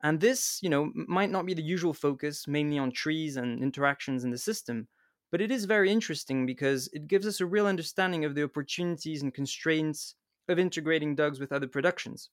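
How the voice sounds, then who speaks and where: A man's voice: on the high side, brisk, dry recording, studio quality. A man hosting a podcast, introducing the episode in a friendly, slightly hesitant way. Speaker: podcast host.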